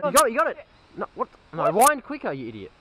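A young Australian man fishing a creek with mates, teasing and excitable, filmed on an action camera outdoors. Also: extremely high pitch, quick pace, noisy background. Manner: excitable, teasing, laughing often. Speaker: fishing vlogger. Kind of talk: casual banter while fishing.

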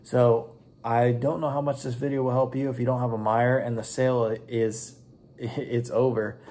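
A man's voice: mid-range voice, little pitch movement, moderate pace, dark tone. A young man chatting informally and in slangy terms, like a vlogger, recorded on a camera at home. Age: young adult. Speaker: online reseller and vlogger. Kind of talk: casual vlog chat. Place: indoors at home, small room. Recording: clearly noisy home recording.

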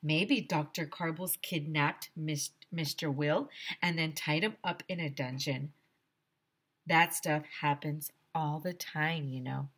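Slow, bright-voiced woman reading a children's story. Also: deep voice. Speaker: teacher reading aloud. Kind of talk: expressive children's storybook read-aloud. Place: small room decorated as a classroom. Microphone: headset.

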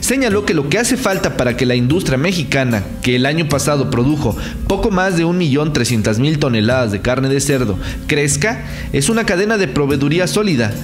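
A man reading a scripted news voice-over in a steady, informative way. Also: fairly high pitch, fairly quick, soft background music. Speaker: news narrator.

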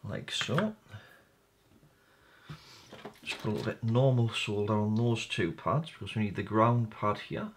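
A man's voice: on the low side, measured pace, pauses often, a little hiss or hum. A man giving a casual hands-on repair walkthrough, informally explaining each step and thinking aloud. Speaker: hobbyist electronics repair presenter.

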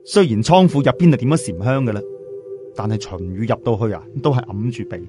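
A man's voice: mid-range voice, very animated, fast. A man narrating a serial novel in a colloquial, chatty way, often putting questions to listeners. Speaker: audiobook narrator. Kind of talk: animated oral storytelling of a serial novel. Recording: music behind the voice.

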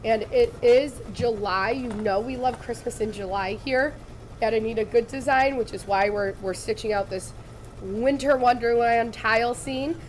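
Woman in her 30s, bit raspy after losing her voice, friendly live craft demo, faint hiss behind. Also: a little high, moderate pace, rough recording. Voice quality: slightly raspy from laryngitis. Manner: chatty, upbeat, addresses viewers directly. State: recovering from lost voice. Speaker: embroidery demonstrator. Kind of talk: live sewing tutorial. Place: studio set with shelves, indoors.

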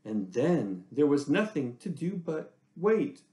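Children's storyteller: American man, high-set and very lively delivery, theatrical, talks straight to the kids. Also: slow, reverberant. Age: middle-aged. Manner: animated, theatrical, addresses young listeners directly. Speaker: children's read-aloud presenter. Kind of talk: expressive picture-book read-aloud with chatty asides. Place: small indoor room.

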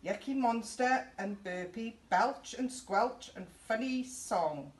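Woman doing story time for little kids, unhurried, chatty, echoey room, mic a bit far off. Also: on the high side, animated. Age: middle-aged. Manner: chatty, encouraging, breaks off to point things out. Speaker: children's story time presenter for a youth service. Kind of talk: animated read-aloud of a children's picture book. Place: small indoor youth room. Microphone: camera a few feet away. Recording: roomy, distant camera mic with faint noise.

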